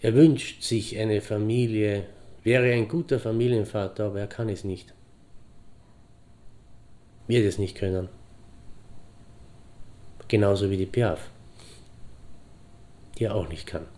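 An actor playing a pensive middle-aged divorced horseman, reminiscing in deliberate, halting phrases. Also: fairly low pitch, fairly even intonation, dark tone, lightly acted. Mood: wistful, matter-of-fact. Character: pensive divorced hobby horseman fondly remembering a friend. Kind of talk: reflective anecdotal monologue in an audio drama.

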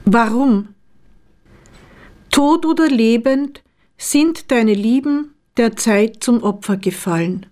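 Slow, higher-voiced woman reading poetry. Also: mellow. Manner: measured, deliberate delivery with pauses between short phrases. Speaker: poet, author reading own work.

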